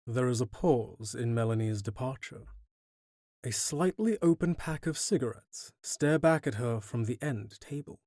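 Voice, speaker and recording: A man's voice: mid-range voice, lively intonation, a little slow, warm tone, no room sound, studio quality. A man reading scripted, descriptive narration for an audio drama.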